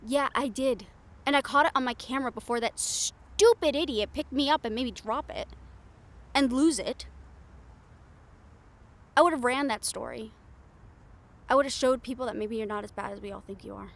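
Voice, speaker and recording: A woman's voice: a little high, moderate pace, pauses often, close to an everyday voice. A woman playing a bold, sarcastic, foul-mouthed reporter in an improvised audio roleplay, speaking in a candid, conversational way. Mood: earnest, persuasive. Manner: conversational, exasperated, candid, with sighs and swearing.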